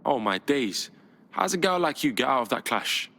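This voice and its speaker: A man's voice: medium pitch, sing-song, moderate pace, pushed and bright. An actor playing a cocky, streetwise young man with a London accent in a video game, talking in casual slang. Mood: wary.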